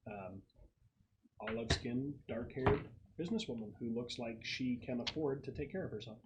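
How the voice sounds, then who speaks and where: A man running a tabletop game as game master, narrating casually and haltingly as he thinks aloud and describes things. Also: mid-range voice. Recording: noisy room recording with clicks.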